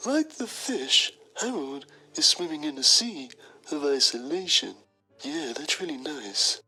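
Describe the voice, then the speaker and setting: A woman's voice: low voice, big pitch swings, measured pace, bright, forceful tone, breathy edge, soft background music, heavily acted, poor audio. A woman playing a pretentious, self-admiring male journalist, narrating a mock documentary in a theatrical manner. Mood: mock poignancy. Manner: pompous, theatrical.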